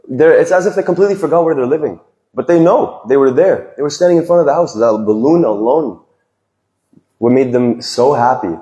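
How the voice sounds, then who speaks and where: A man's voice: medium pitch, warm tone. A young man giving an earnest, heartfelt farewell speech from a stage, speaking into a handheld microphone. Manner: earnest, reflective, speaks from the heart with small restarts. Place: large decorated hall with stage. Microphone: handheld microphone, recorded from the audience. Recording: echoey hall.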